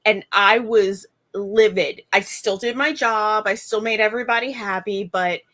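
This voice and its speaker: A woman in her forties telling stories in casual chat, animated and acting out other people's lines. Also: mid-range voice, measured pace. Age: 40s.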